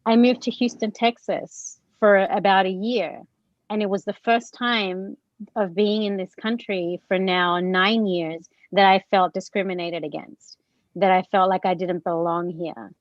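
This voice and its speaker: A woman born in Chile and raised in Australia, moderating a panel on a video call, speaking earnestly and a little haltingly. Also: medium pitch, steady tone, no room sound, highs cut off.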